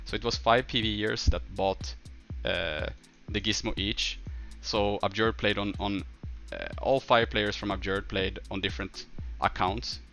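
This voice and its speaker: A man from northern Sweden with a Swedish accent, chatting casually as a game livestreamer and answering viewers in a halting way, thinking aloud. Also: fairly low pitch, fairly even intonation, fairly bright tone, faint music behind.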